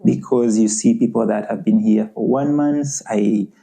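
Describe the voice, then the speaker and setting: A man's voice: lowish voice, normal speed, gravelly, dark tone. A young adult man with a Ugandan accent, speaking earnestly as a podcast guest in a conversational interview and often saying "you know".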